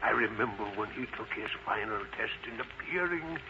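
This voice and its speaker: A man voicing a kindly, chuckling elderly ghost schoolteacher in a drawn-out theatrical way for a children's story. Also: on the low side, animated. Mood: fond, amused. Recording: muffled lo-fi audio with clear noise.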